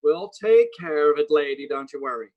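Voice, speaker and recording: An actor playing a weary, dismissive city police sergeant in 1940s radio drama style, placating and patronizing. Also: male voice, on the high side, animated, normal speed, narrow, tinny audio, natural voice. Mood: brusque, dismissive. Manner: hesitant, placating, then brusquely patronizing.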